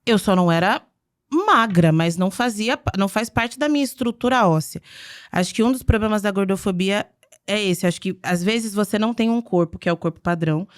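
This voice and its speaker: A woman in her twenties from the interior of Minas Gerais, a podcast guest telling childhood stories in an animated, chatty way. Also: mid-range voice, fairly quick. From interior of Minas Gerais, Brazil. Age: 20s. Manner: animated, chatty storytelling with laughs and "entendeu?" tags. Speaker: podcast guest, beauty communicator. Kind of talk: conversational interview, personal anecdotes.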